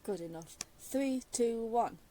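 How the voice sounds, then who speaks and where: A woman's voice: a little high, expressive, slow pace, noisy background. A woman giving casual commentary as she plays through a game, rambling and hesitant, given to giggling. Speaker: gaming streamer.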